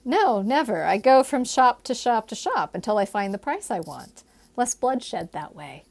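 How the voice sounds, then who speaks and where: A woman voicing a guarded, quick-witted young woman in a historical romance, tart and earnest. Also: on the high side, very animated, a little mellow, voice pushed up, exaggerated voice. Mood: wary, questioning.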